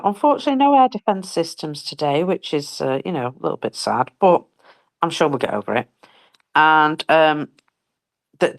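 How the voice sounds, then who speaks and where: A woman with a very low voice reads out numbers in a casual live commentary. She talks bluntly and swears freely, with chatty asides along the way. Her voice is going hoarse as she loses it. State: losing her voice. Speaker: co-host reading daily war figures.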